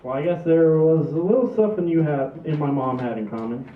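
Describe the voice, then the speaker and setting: A man's voice: a little high, a little fast, a little hiss or hum, lightly acted. A man playing a weary, exasperated family man in a comedy table read, dry and reluctant. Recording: muffled phone-like sound, echoey distant room.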